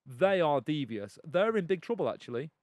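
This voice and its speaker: Brief: male podcast co-host, somewhat high pitch, dry close sound, emphatic. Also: very animated, normal speed, darkish tone, no echo. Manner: chatty, emphatic, indignant. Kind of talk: casual advice chat.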